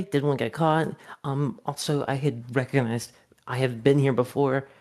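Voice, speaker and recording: A man giving a casual post-game interview as a player, thinking aloud in a halting way. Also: medium pitch, darkish tone.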